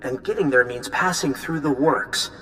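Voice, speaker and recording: A man's voice: mid-range voice, moderate pace, pushed and bright, soft background music, close to an everyday voice, low-quality recording. A man voicing an earnest, concerned ally in a video game, speaking with urgency. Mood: hopeful, urgent. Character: earnest, worried male ally bearing news.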